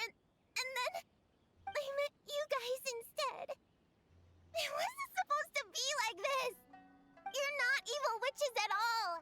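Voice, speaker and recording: Very high, emotional little witch-hunter girl. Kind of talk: anime game voice acting. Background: game music.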